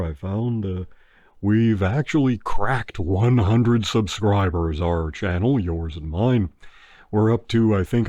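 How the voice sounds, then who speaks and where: A man's voice: lowish voice, warm tone, no echo, highs cut off. A man hosting his own channel, chatting casually in a rambling, laid-back way with lots of ums and uhs. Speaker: movie review channel host. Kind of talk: casual podcast-style chat.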